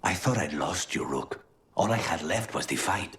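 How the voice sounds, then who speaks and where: An actor playing a brooding, devoted assassin in a video game, weary and intimate, often speaking close to a whisper. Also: male voice, deep voice, animated, normal speed. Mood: grim, resolute. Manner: hushed, breathy, often near whispering. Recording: faint music underneath.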